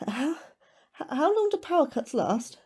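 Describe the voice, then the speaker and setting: An actress playing an anxious, questioning astrobiologist with a nervous, hesitant delivery in a sci-fi horror audio drama. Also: female voice, high-pitched, sing-song, normal speed, darkish tone.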